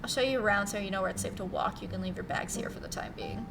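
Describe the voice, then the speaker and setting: A woman's voice: mid-range voice, quick pace, vocal fry, recorded from a distance, music in the background, close to an everyday voice. A woman voicing an icy, haughty female cousin in a visual novel, curt, formal and disdainful. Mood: stern, dismissive. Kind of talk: visual novel character read-aloud.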